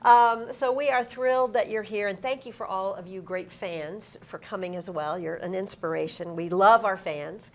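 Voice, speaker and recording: A middle-aged woman hosts a live interview at a desk in front of an audience. She is effusive and encouraging with her guest. Her voice sits at an ordinary pitch, with some noise behind it. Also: normal speed, few pauses. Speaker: interviewer, host. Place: TV-style desk set before a live audience. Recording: clear background noise, live event.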